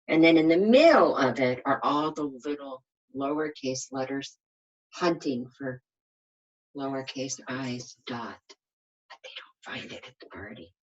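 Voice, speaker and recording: A woman teacher reading a storybook to young children, pausing for simple explanations and coaxing questions. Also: extremely low pitch, expressive, lo-fi sound. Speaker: kindergarten teacher.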